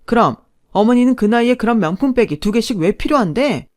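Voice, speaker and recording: A woman's voice: on the low side, lively intonation, normal speed, natural voice. A woman voicing a resentful young husband who complains defensively. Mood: aggrieved. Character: sulky resentful husband, young adult man.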